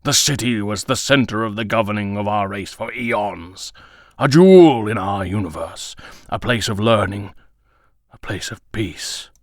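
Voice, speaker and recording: A man voicing a solemn old alien storyteller in a comic dub, grave and measured, telling legends with dramatic pauses. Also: on the low side, no echo, clean studio sound, lightly acted. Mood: grave, mournful.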